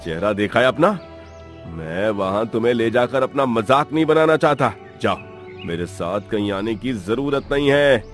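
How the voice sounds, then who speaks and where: A man's voice: medium pitch, animated, moderate pace, faint music behind, close to an everyday voice. A man voicing an arrogant, cold husband and father in a cartoon story, stern and contemptuous. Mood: scornful. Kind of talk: Hindi cartoon story dialogue.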